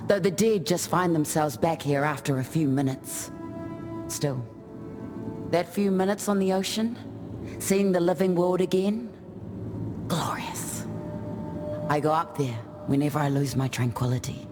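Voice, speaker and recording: Game chieftain male actor: proud, loyal outsider warrior, measured and reflective, loud music underneath. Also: fairly high pitch, lightly acted. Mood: wistful. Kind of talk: video game character dialogue.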